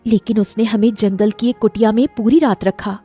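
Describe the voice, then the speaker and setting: A woman as a mellow, gentle fairy-tale queen. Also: medium pitch, fairly even intonation, normal speed, husky, faint music behind, close to an everyday voice. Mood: earnest. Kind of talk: animated Hindi moral-story dialogue.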